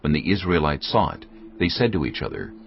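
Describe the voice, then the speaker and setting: A man's voice: extremely low pitch, big pitch swings, brisk, creaky, slight background noise. A man narrating dramatized scripture from a script, as the storyteller between the characters' lines. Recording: dull band-limited audio with music.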